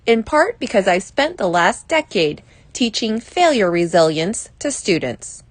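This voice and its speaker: A woman reading a personal advice essay aloud as scripted narration, in a measured, deliberate way. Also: mid-range voice, sing-song, no echo.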